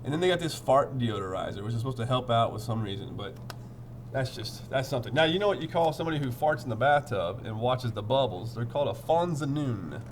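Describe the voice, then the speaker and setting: A man's voice: medium pitch, expressive, quick pace, slight background noise. A man reading a picture book aloud, adding playful, informal asides as he goes. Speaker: read-aloud storyteller.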